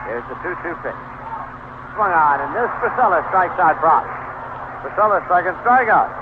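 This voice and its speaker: An American man calling a baseball game live on the radio, in measured, clipped play calls with pauses. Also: sits high, big pitch swings, narrow, tinny audio. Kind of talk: live radio sports commentary. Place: stadium broadcast booth. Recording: noisy band-limited 1970s AM radio broadcast.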